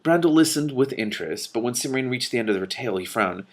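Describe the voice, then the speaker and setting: A man's voice: mid-range voice, animated, fast talker. A man reading a novel aloud and breaking off for casual commentary. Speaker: reader and commentator.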